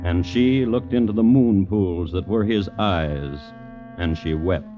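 A man narrating a 1950s radio crime drama as its host, in a measured, literary storytelling manner. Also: deep voice. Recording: muffled old radio recording with music behind.

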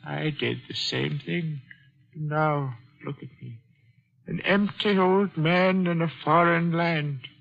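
A grave old merchant from India, world-weary and given to formal, philosophical speech. His voice sits fairly high and crackles with gravelly creak. It comes through a dull, vintage 1940s radio recording. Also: steady tone, exaggerated voice. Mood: weary, bitter. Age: older. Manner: formal, philosophical, deliberate phrasing.

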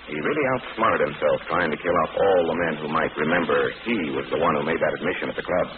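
An actor playing a shrewd, confident gentleman detective in a vintage radio drama, brisk and assured. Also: rumbling low. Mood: assured, serious. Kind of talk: 1940s radio drama acting. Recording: noisy, muffled vintage radio recording.

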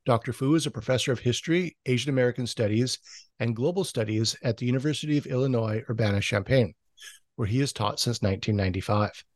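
A man hosting a podcast interview, introducing and questioning his guest with hesitant pauses between phrases. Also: mid-range voice, no echo, clean studio sound.

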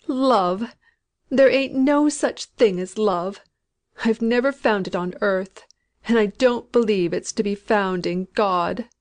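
A woman reading a storybook, voicing a frantic, terrified dying woman of about sixty who pleads in rough country speech. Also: a little high. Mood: bitter, stubborn.

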